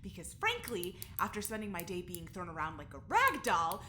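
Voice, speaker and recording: A woman's voice: on the low side, huge pitch swings, moderate pace, pushed and bright, a little hiss or hum, exaggerated voice. A woman acting as a defiant, sullen prisoner and test subject in an audio drama, with a sarcastic, surly manner. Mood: surly, weary.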